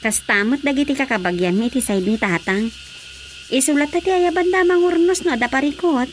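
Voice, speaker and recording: An actress playing an everyday wife at home in a radio drama, with an Ilocano accent. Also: female voice, sits high, a little fast, close to an everyday voice. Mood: conversational. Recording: faint cricket noise.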